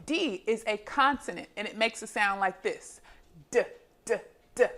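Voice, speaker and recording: A woman hosting a children's phonics lesson and storybook read-aloud, enunciating deliberately and expressively as a teacher does. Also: medium pitch, fairly bright tone.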